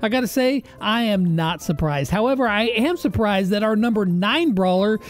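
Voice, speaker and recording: A man's voice: high-pitched, normal speed. A middle-aged man giving upbeat, informal countdown commentary on a video game into a close microphone. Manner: expressive, informal, emphatic. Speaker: video host. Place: indoor streaming studio. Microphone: close boom-arm microphone. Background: faint music.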